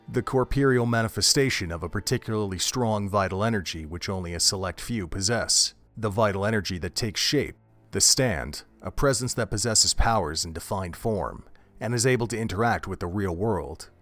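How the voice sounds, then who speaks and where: A man narrating a gruesome horror audio drama in a measured, dramatic storytelling manner. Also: on the low side, lively intonation, a bit bright, soft background music.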